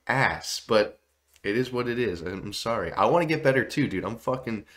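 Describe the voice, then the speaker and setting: A young man with a stuffy, nasal voice from a cold, giving excitable, swear-heavy commentary while reacting to songs in a small home room. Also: mid-range voice, normal speed. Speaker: music reaction streamer. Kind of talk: casual music reaction commentary.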